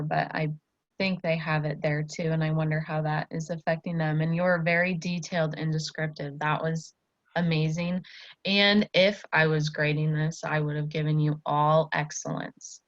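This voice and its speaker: A woman, a student teacher giving an encouraging one-to-one lesson to a child. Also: sits low. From Iowa, United States. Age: early 20s. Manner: encouraging, praising. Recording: dull, echoey lo-fi room recording.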